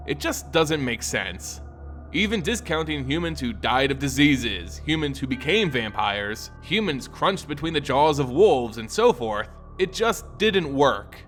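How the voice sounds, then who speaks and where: Animated, high-pitched male story narrator over music. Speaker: lore channel narrator. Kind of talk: dramatic scripted story narration. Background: background music.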